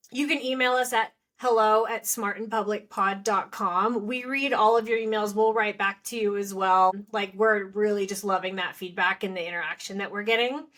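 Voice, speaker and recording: Woman, upbeat podcast co-host, mid-pitched voice. Effusive, casual, conversational delivery. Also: a bit bright. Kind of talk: casual chatty podcast banter.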